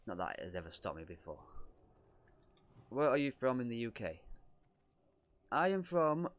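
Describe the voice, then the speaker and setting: A man's voice: medium pitch, very animated, normal speed. Young lancashire guy streaming, reads out chat questions, rambly and jokes at his own expense. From Lancashire, England. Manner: self-deprecating, rambling, reads questions aloud. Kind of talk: casual livestream chat answering viewer questions.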